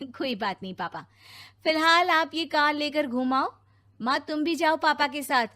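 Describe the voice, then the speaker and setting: A cheerful, dutiful young daughter in a cartoon played by a woman speaks in a high voice. She sounds eager and affectionate. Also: unhurried, pushed and bright. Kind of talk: cartoon story dialogue.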